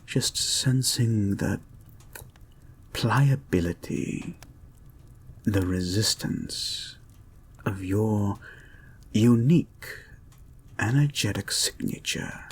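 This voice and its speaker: Man playing a slow, husky, creepy soothing therapist. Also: fairly low pitch, exaggerated voice. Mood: soothing, intent. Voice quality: breathy, husky, often dropping to a whisper. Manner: soft-spoken, deliberate, halting, formally polite. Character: creepy, soothing male experimental therapist, calmly controlling and intent. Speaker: audio roleplay performer. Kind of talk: slow intimate ASMR-like audio roleplay, guided hypnosis.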